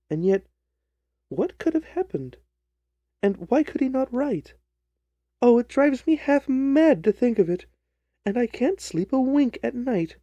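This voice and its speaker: A man's voice: sits high, dark tone, no echo, lo-fi sound, heavily acted. A man voicing an earnest, trusting, distressed young jilted bride in a dramatised story reading. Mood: anguished. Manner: earnest, wordy, pleading.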